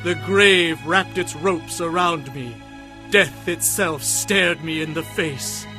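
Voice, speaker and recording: A man's voice: on the high side, takes it slowly. An actor playing a devout warrior king, reciting praise to God solemnly and fervently in a dramatized scripture reading. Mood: anguished pleading. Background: music.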